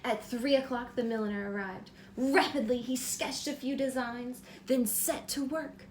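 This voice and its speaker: Female, very high voice, children's read-aloud teacher, simple prompting questions, some background noise. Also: fairly even intonation, normal speed. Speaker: teacher reading a picture book aloud to children.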